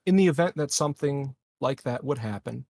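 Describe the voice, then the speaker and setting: A man playing a calculating, formal colony leader in a tabletop game, speaking deliberately and haltingly. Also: medium pitch, expressive, vocal fry, a little mellow, dry recording, studio quality. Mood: measured, guarded.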